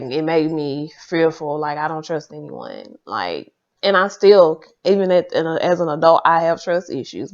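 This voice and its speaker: A mother speaking softly and hesitantly in a documentary interview. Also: low voice, lo-fi sound. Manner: soft-spoken, hesitant.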